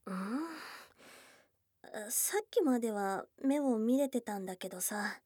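A woman voicing a shy, smitten, tomboyish young goblin girl in an intimate ASMR voice drama, halting and flustered, with soft murmurs. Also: a little high, expressive, dry recording, clean studio sound. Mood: flustered, bashful. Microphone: close studio microphone, dry.

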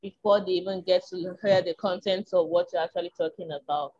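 A Nigerian woman speaks in a small, slightly low voice, candid and reflective, working through her thoughts with soft ums. The recording is a bit muffled and dull at the top end. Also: steady tone, a little fast. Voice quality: small, tiny voice. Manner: reflective, frank, with filler ums and soft laughs. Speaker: interview guest. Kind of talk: candid conversational chat.

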